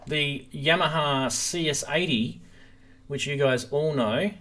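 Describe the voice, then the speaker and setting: A man's voice: fairly high pitch, slow pace, pushed and bright. A man hosting a casual live stream about gear, talking informally and enthusiastically straight to his viewers.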